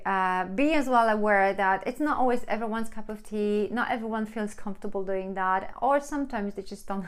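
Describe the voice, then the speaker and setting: Polish-accented woman giving workplace advice. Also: medium pitch. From Poland. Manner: earnest, encouraging, with frequent fillers and restarts. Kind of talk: direct-to-camera advice talk.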